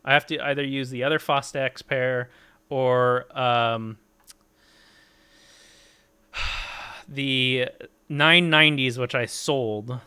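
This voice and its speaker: A man with a bright, forceful voice in casual stream chat. He rambles through long explanations, full of fillers and offhand swearing. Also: mid-range voice, fairly even intonation, slow, pauses often, clear, resonant tone. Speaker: streamer talking gear.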